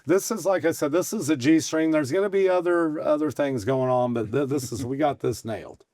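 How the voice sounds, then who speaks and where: A middle-aged man with a hoarse, fairly high voice rambles along in folksy, excitable bursts, stopping and restarting his sentences. The sound is dry and close, with no room echo. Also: fairly quick. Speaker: host and bar owner. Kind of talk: casual podcast chat.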